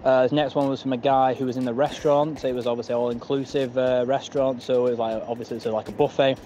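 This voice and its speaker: A man's voice: mid-range voice, level tone, fast talker, mellow. A man giving a casual recorded report as a podcast's roving correspondent. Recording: noisy outdoor voice message.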